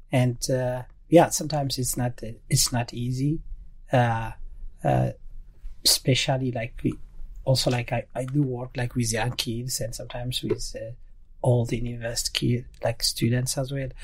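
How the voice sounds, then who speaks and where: A man speaking English as a second language, a youth worker guest on a podcast. His voice is husky and mid-pitched. He talks in halting bursts, filling the gaps with "uh". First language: not English. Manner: hesitant, frequent "uh" pauses. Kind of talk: casual conversation.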